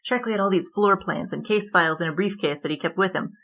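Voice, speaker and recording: A woman's voice: fairly low pitch, fairly bright tone. Grandiose boastful bandit queen. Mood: theatrical. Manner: theatrical, grandiose, quick-witted. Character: boastful flamboyant female bandit king. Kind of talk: audio drama acting.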